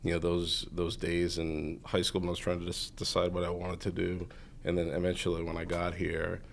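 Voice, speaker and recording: A man in his forties with a very low voice, roughened by a gravelly fry. He talks loosely and keeps circling back with "you know" as he recalls the past. The tone is relaxed and conversational, a guest talking at ease. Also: normal speed, a little hiss or hum. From Massachusetts. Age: 40s. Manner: rambling, heavy on "you know" fillers. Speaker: guest, entrepreneur. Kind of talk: casual interview storytelling.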